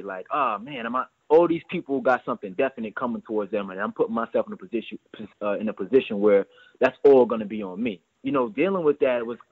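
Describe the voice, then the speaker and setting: A young man in his twenties speaks fast and earnestly, often stumbling into repeated words. His voice comes through a narrow, tinny phone line with no room sound at all. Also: mid-range voice, breathy edge, dry recording. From New York. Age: 20s. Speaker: guest entrepreneur. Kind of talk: phone interview.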